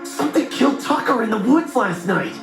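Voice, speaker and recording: An urgent, excitable teenage boy camper voiced by a male narrator in a story. The voice is pushed up high, with room echo and music behind it. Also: brisk, creaky, far from the mic, exaggerated voice. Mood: alarmed.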